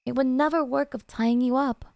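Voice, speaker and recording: A woman acts out a possessive young yandere girlfriend for an ASMR roleplay. Her voice sits fairly high and is cutesy and coy, with hesitant asides that turn needy. The sound is very dry and intimate. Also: steady tone, a little fast, gravelly, a little mellow, dry recording. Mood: sheepish justifying.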